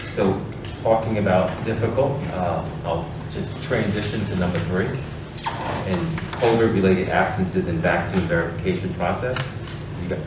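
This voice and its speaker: A man's voice: low-pitched, unhurried. A man chairing a school board meeting, thanking staff and moving through the agenda with many fillers. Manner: appreciative, fillers, leads transitions. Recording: echoey meeting room from far off, hum.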